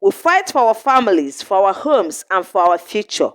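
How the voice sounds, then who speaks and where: A woman's voice: mid-range voice, very animated, moderate pace, pitched below the performer's own voice, lightly acted. Solemn young warrior woman read by a woman. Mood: solemn, resolute. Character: wise, resolute young woman warrior leading her village.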